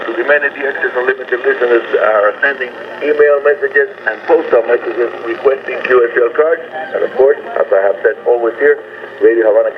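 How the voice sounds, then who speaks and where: A man's voice: medium pitch, narrow, tinny audio. A man hosting a radio program, presenting to listeners, heard through noisy shortwave reception. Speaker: radio host. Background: radio static and noise.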